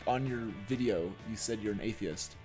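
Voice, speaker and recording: A man's voice: lowish voice, normal speed, over music. A man co-hosting a podcast, talking in a casual but earnest way. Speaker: podcast co-host.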